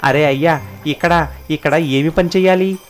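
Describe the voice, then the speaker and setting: A man acts out a timid, hungry beggar from a cartoon moral tale. His voice sits a little high and has a pleading, deferential manner. Soft music plays behind him. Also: moderate pace, close to an everyday voice. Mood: puzzled. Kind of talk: animated moral story dialogue.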